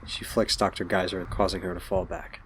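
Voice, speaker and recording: A man's voice: low-pitched, little pitch movement, fairly quick, fairly bright tone, a little hiss or hum. A man reading scripted story narration for an audio drama. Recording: music behind.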